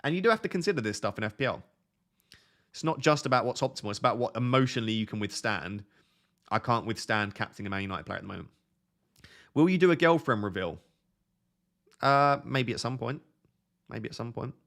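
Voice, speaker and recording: A man's voice: mid-range voice, expressive, a little fast, long pauses, a bit bright. Soothing young man streaming chat. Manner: reads viewer questions aloud and answers them; self-described relaxing, soothing voice. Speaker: fantasy football streamer. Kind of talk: casual live stream chat.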